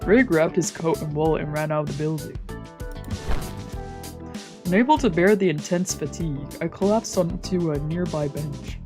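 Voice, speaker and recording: A woman's voice: deep voice, moderate pace. Woman narrating a visual novel over music. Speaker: streamer reading a visual novel aloud. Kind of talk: dramatic narration of a let's play.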